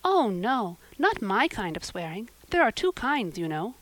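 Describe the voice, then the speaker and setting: A woman's voice: medium pitch, very animated, unhurried, fairly bright tone, no echo, poor audio. A woman voicing an eager, talkative orphan girl with a gushing, romantic way of speaking. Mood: earnest.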